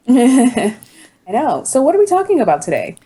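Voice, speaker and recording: A woman in her twenties co-hosting a casual podcast, upbeat and quick to laugh, with a groggy morning voice. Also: high voice, huge pitch swings, dark tone, breathy edge. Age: 20s. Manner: upbeat, laughs easily, repeats herself. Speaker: podcast co-host. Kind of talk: casual chat.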